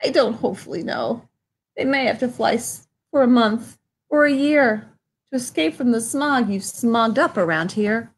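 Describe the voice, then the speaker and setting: A croaky, wheezy little old forest creature, as a woman reading a storybook puts him on, fussy and indignant. He scolds in a raised, pinched voice that climbs well above a plain speaking voice. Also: on the high side, animated, normal speed, pitched above the performer's own voice. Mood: scolding. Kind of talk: reading a picture book aloud.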